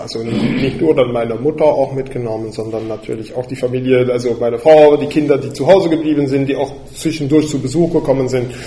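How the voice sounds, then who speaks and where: A man from Belgium preaching to his congregation, hesitant and halting, often pausing to find his words. His voice is mellow and a touch high. It rings in an echoey church hall. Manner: hesitant, halting, many fillers. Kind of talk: personal sermon.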